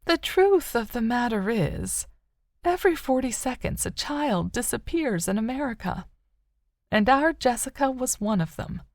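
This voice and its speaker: A woman narrator voicing an older mother, grieving and wistful yet still hopeful, with a soft, sorrowful, tender manner in an audiobook. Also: on the high side, expressive, unhurried, no room sound, studio quality, voice pushed up. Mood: haunted, sad.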